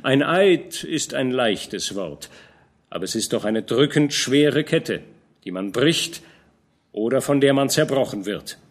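A man voicing a shrewd, fatherly older field captain who speaks in a knowing, admonishing way, with lively pitch. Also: measured pace.

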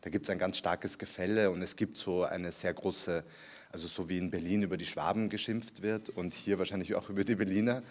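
A man in his thirties from Austria with a Viennese accent, an author answering questions at a library reading, relaxed and halting with many fillers. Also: low-pitched. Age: 30s. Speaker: author guest. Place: city library event room.

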